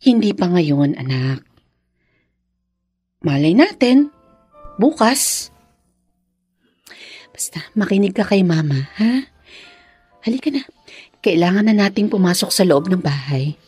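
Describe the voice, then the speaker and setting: A woman playing a gentle, dutiful young mother in a radio drama, tender and respectful with a hesitant edge. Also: fairly low pitch, big pitch swings, slow, pauses often, slightly rough, soft background music, full character voice. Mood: tender, evasive. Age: young adult.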